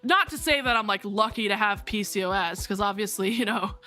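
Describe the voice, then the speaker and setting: A woman's voice: mid-range voice, fairly quick, sharp tone. A young adult woman streaming a game, rambling candidly in casual chat, swearing freely and restarting her sentences often. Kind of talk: casual chat while gaming. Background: faint background music.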